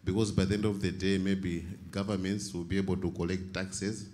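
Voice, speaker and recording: A man asking unscripted, formal questions at a conference, in halting phrases with many hedges. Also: deep voice, flat delivery, a little mellow. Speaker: panel participant asking questions.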